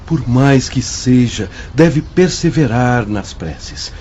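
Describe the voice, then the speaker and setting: An actor playing a kindly religious brother in a radio drama, counseling patiently in a soothing, measured, exhorting way. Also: male voice, mid-range voice, expressive, dark tone. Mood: encouraging. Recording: muffled lo-fi audio with faint noise.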